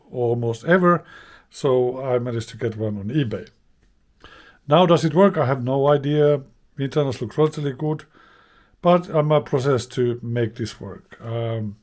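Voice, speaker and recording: A man's voice: mid-range voice, darkish tone. A man giving an informal, unscripted hands-on demonstration, thinking aloud in a hesitant way as he explains. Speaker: host of a vintage radio repair channel.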